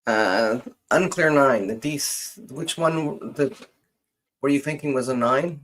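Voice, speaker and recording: A man hosting a casual live genealogy session, explaining things in a halting, hesitant way and often trailing off mid-sentence. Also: mid-range voice, fairly bright tone, poor audio.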